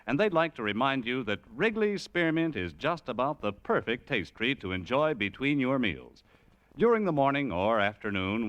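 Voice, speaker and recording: A man reading announcements in a smooth, polished style as a vintage radio announcer. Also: medium pitch, a bit bright. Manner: smooth, polished, emphatic delivery. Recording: vintage radio broadcast with music.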